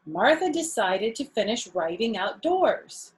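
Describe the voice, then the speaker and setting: A woman's voice: fairly high pitch, big pitch swings, unhurried. A woman storyteller reading aloud to young children, adding casual explanations and questions as asides.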